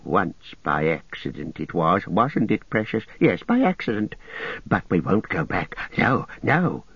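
A man's voice: low-pitched, big pitch swings, measured pace, fairly bright tone, slightly rough, no room sound, full character voice. A man voicing a wretched, split-minded creature who whines, hisses and shrieks. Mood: shrieking. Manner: whimpering, shrieking, hissing. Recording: dull lo-fi dry recording.

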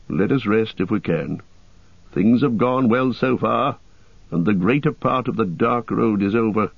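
A man voicing a wise old wizard who speaks with deliberate, reassuring authority in an audiobook. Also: mid-range voice, no room sound, muffled recording. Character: wise old male wizard guiding weary travellers.

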